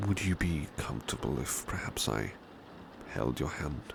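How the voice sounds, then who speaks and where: A British man playing a devoted, gentle butler in an ASMR roleplay, speaking softly and courteously with hushed, intimate delivery. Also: rumbling low, exaggerated voice. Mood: tender, reassuring. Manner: formal, courteous, hushed and hesitant, with long pauses. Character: devoted, gentle, protective male butler of an estate. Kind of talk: ASMR roleplay, soft intimate speech slipping into whispers. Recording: clear steady rain-like background noise.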